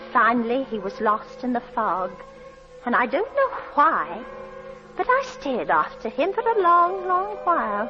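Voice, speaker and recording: A woman's voice: fairly high pitch, pushed and bright. An actress playing a nervous, deferential middle-aged landlady in a 1940s radio drama, flustered, stammering and eager to please. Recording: dull 1940s radio recording.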